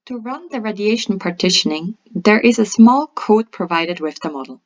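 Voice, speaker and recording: Woman doing a step by step software tutorial, reading from a script, methodical, mid pitch, kinda muffled lo-fi audio. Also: animated, normal speed. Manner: methodical, step-by-step instructional delivery. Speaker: tutorial presenter. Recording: dull lo-fi voice-over.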